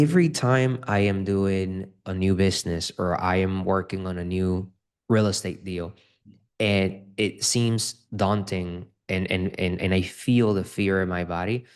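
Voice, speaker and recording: A man speaks in a very low voice. He answers interview questions earnestly, often repeating his opening words before going on. His tone is conversational. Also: clean tone. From Dominican Republic. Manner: earnest, repeats words when starting sentences. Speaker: guest, real estate investor.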